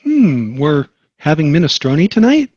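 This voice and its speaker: A man's voice: on the high side, big pitch swings, a little slow, a little mellow, husky, lo-fi sound, heavily acted. A man playing a jokey suburban sitcom dad in an amateur table read. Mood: upbeat, teasing.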